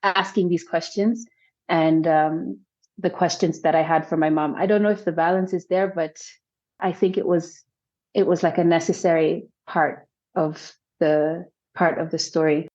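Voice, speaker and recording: Low-voiced woman filmmaker, thoughtful. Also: steady tone, moderate pace, a little mellow, lo-fi sound. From South Sudan. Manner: thoughtful, many restarts and hedges. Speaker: filmmaker guest. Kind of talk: reflective interview answers.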